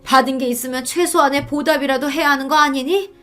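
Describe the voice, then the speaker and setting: A woman's voice: high voice, steady tone, fast talker, fairly bright tone, soft background music, voice pushed up. A woman voicing a wronged elderly mother-in-law in a radio story, reproachful, pleading and indignant. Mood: hurt, indignant.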